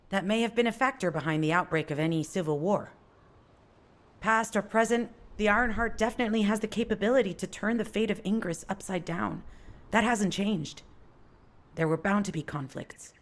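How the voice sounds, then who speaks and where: Actress doing a tough hot-headed fighter girl in a video game, blunt and intense, medium pitch. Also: moderate pace, natural voice. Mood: resolute. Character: hot-tempered, tough female fighter with a grudge. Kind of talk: scripted video game dialogue.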